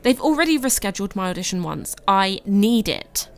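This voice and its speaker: A woman acts out a haughty woman in an audio drama. She is demanding and exasperated, with lively swoops in her mid-pitched voice. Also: moderate pace, vocal fry. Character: impatient, haughty woman stuck in a village.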